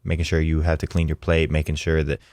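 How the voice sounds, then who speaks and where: A man with a very deep, smooth and resonant voice hosts a podcast in a relaxed, casual way, often filling pauses with ums and likes. The sound is close and dry, with no room around it. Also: no room sound. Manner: easygoing, lots of ums and likes. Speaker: podcast host.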